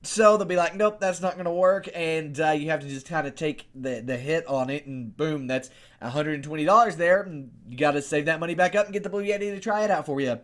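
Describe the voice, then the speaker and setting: A young American man with a fairly high voice talks straight to his viewers into a close desktop condenser mic. He is informal and rambling, often posing questions to himself as he gives his review. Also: fairly quick, few pauses, fairly bright tone. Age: young adult. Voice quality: higher-register voice, not deep or bassy. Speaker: YouTube host reviewing microphones. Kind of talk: casual video review, chatty to camera. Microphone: desktop condenser studio microphone, close up.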